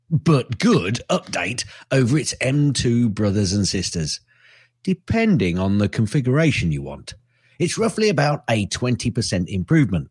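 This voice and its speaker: Male podcast co-host, middle-aged. Very lively intonation, dry close sound, playful banter with repeated phrases. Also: medium pitch, slightly rough, no echo.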